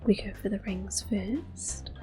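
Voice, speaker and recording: A woman giving gentle, soft-spoken ASMR gameplay commentary in a breathy whisper. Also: medium pitch. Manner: gentle, unhurried. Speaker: gameplay host. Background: soft game music.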